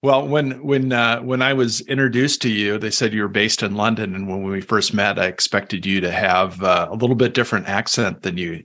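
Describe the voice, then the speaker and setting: A man's voice: fairly low pitch, a bit bright. A man hosting an interview, asking questions in a casual, loose way with fillers. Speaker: interviewer, host.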